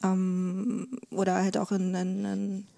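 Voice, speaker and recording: A woman's voice: medium pitch, level tone, takes it slowly, gravelly, warm tone. Young woman, wry podcast guest. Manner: wry, self-ironic. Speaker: interview guest, research associate. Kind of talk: casual interview conversation.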